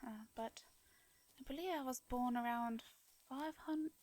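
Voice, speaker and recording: Woman as a soft, mellow English vampire lady. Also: on the high side, unhurried, lightly acted. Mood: wistful, musing. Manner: hesitant, stammering, formal old-fashioned speech. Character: gentle, anxious, centuries-old female vampire. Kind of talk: intimate fantasy audio roleplay.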